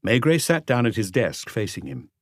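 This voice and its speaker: Low-voiced man narrating, close and dry. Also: sing-song, normal speed, no echo. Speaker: audiobook narrator. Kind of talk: scripted literary narration.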